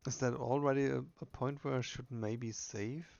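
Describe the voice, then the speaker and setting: A man narrates a text-based game in a subdued, even voice with some gravel in it. His pitch moves very little. The recording sounds dull and muffled. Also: moderate pace, no echo. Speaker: solo player reading game text aloud.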